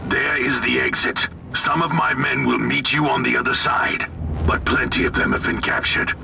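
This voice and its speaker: An actor playing a zealous, battle-hungry military commander in a video game, bombastic and brusque. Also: male voice, medium pitch, steady tone, moderate pace, vocal fry, background noise. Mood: grimly jubilant. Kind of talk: dramatic video game voice acting.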